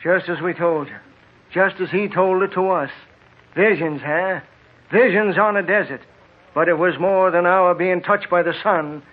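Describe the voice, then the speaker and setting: An actor playing an urgent, insistent desert traveler with a husky voice, earnest and melodramatic, in a vintage radio drama. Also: male voice, high voice, measured pace. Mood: awed. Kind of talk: 1940s radio drama acting. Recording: tinny vintage radio recording.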